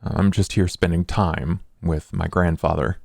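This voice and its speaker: A man's voice: sits low, fairly even intonation, unhurried, vocal fry, a little mellow, dry recording, studio quality. A man playing a tabletop role-playing game, talking in a laid-back, hesitant way. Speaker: player.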